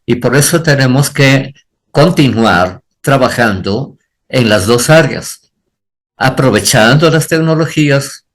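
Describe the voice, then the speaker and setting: A man's voice: mid-range voice, animated, takes it slowly. An older man, a pastor answering on a church panel, speaking earnestly and repeating phrases for emphasis.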